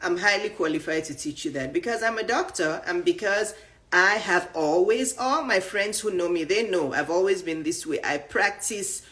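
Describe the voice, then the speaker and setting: A woman in her fifties speaks as a coach, with a slightly low voice. She is blunt and straightforward, stacking up lists of questions and punching each item in turn. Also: normal speed, fairly bright tone. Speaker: dating and life coach. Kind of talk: direct-to-camera coaching talk.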